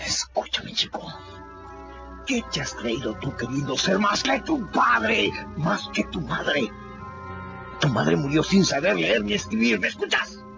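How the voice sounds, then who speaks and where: A gravelly, drunken brute of a father. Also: sits high, big pitch swings, a little slow, full character voice. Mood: menacing. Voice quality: gravelly, menacing. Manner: bullying, barking, swings between growls and yells. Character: drunken brutal backwoods father, older man. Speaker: radio drama actor. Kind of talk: radio drama acting. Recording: dull lo-fi radio recording. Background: clear music bed.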